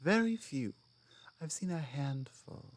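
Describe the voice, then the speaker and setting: A woman playing a strong, proud, stubborn female orc in an ASMR roleplay, speaking earnestly and firmly with deliberate pauses. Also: low voice, animated, full character voice. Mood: somber, reflective. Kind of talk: ASMR audio roleplay, one-sided dialogue.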